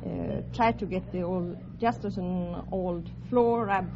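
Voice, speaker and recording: A woman from Europe with a European accent, speaking haltingly as a contestant on a vintage radio quiz show. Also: lowish voice, creaky. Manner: halting, simple hesitant phrasing. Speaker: quiz show contestant, steam bath operator. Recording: muffled, noisy vintage radio with audience.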